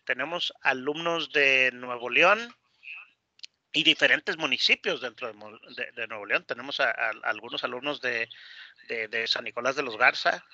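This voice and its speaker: A man's voice: medium pitch, moderate pace, bright, forceful tone. A man teaching English online, explaining a dialogue and often repeating words as he searches for the next. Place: online video class, close dry mic. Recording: dull lo-fi online class audio.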